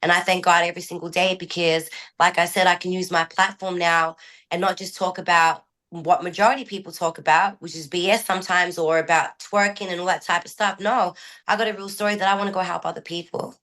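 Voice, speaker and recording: A woman's voice: on the low side, fairly even intonation, brisk, fairly bright tone. A young woman from Australia with an Australian accent partly shaded by American speech, telling her story candidly as an interview guest. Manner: candid, rambling storytelling with restarts. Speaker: guest musician. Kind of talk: casual interview talk.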